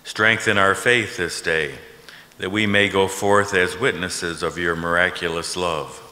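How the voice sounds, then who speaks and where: Older male pastor, solemn and unhurried, bright forceful tone, reverberant sanctuary. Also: low voice, steady tone. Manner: solemn, measured. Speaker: pastor leading worship. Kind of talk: liturgical reading and prayer. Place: large church sanctuary, at a pulpit. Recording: echoey church hall, long reverb.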